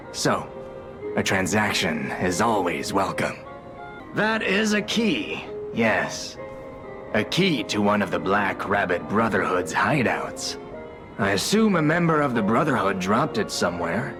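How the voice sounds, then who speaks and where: An aloof, mocking merchant for a video game. The voice sits fairly low but leaps around with grand theatrical swings. He sounds pompous and sardonic, with music clearly playing behind him. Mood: sly, aloof. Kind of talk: scripted video game character acting.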